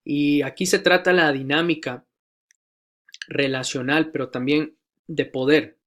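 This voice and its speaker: A man giving a recorded lecture to church leaders, measured and deliberate, with frequent hesitations and repeated key phrases. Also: on the high side, steady tone, fairly bright tone.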